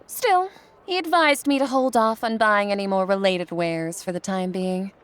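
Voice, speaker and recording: A woman's voice: mid-range voice, moderate pace, natural voice. A woman playing a prim, gossipy society wife in a video game, with an affected manner. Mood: prim, self-satisfied.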